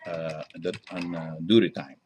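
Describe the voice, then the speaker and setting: A middle-aged Greek man speaks in a low-pitched voice with a Greek accent. He talks conversationally as an interview guest, picking his way through English as a second language in halting phrases with frequent pauses. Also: fairly even intonation, a little mellow, slight background noise. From Greece. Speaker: interview guest, diner owner.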